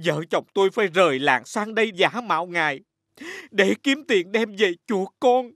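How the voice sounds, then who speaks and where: A man playing a humble elderly village father in an animated fairy tale, speaking in a deferential, plaintive way. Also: high-pitched, normal speed, no room sound, clean studio sound. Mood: sorrowful pleading.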